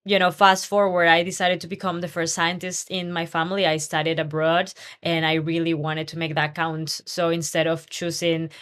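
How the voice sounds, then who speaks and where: A woman from Peru speaks in a slightly low voice, answering interview questions about her work. She sounds earnest and passionate, and she often restarts her phrases as she goes. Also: flat delivery, moderate pace, hardly any pauses, fairly bright tone, clear, resonant tone. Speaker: scientist guest. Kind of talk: podcast interview conversation.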